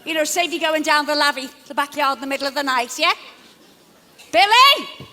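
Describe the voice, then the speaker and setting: A woman acting on stage as a brisk, kindly but bossy working-class mother, with a colloquial manner. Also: sits high, expressive, sharp tone. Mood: bossy, calling out. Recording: echoey theatre hall.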